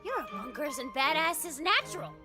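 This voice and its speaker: Excitable, high-pitched girl game master. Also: normal speed, over music. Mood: candid. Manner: theatrical, slangy, playful. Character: excitable young girl game master narrating a fantasy tabletop game. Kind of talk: animated video game character acting.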